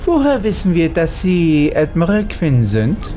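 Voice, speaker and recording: A man's voice: on the high side, huge pitch swings, unhurried, mellow. A man hosting a gameplay video, reading out game dialogue and adding casual comments over game sound. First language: German. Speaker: gameplay host. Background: faint game music.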